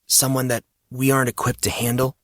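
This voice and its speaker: A man's voice: medium pitch, flat delivery, a little fast, no room sound, clean studio sound, pitched above the performer's own voice, lightly acted. A man voicing a blunt, anxious young hero of a fantasy audiobook, impatient and full of questions. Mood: anxious, demanding.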